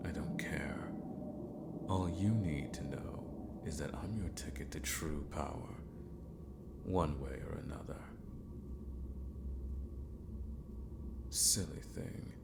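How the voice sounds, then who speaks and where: A man playing an eccentric, possessive sorcerer mentor in a fantasy ASMR roleplay, half-whispering in a gravelly voice with formal, condescending deliberation. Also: extremely low pitch, very noisy, full character voice. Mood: cryptic, commanding.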